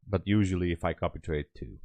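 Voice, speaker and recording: A man's voice: low-pitched, fast talker, a little mellow, no room sound. A man with a Hungarian accent chats casually on a livestream, rambling and thinking aloud. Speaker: live trading streamer.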